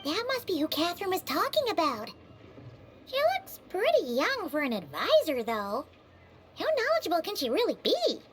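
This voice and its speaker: A woman voicing a tiny, childlike fairy companion in a video game, cocky and excitable, with a squeaky voice. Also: normal speed, noisy background, full character voice. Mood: skeptical. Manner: boastful, third-person self-reference. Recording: loud music behind the voice.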